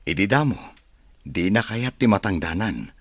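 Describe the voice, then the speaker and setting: Deep-voiced, earnest rich son played by a man. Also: lively intonation, normal speed, no echo. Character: wealthy grown son, soft-hearted and earnest. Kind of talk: melodramatic radio drama acting.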